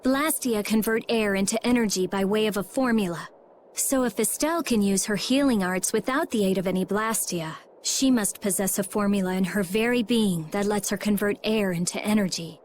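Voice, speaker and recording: A woman's voice: medium pitch, moderate pace, slight background noise, close to an everyday voice. An actress playing a serious, clever girl researcher in a fantasy game, explaining in an analytical manner. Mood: grave, analytical. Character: brainy, serious teenage girl mage-researcher. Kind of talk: dubbed fantasy game voice acting.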